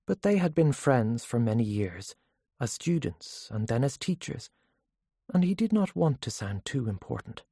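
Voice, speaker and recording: Narrator for literary fiction: measured storytelling, expressive pitch movement, slightly rough-edged tone, dry sound. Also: no echo. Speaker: audiobook narrator.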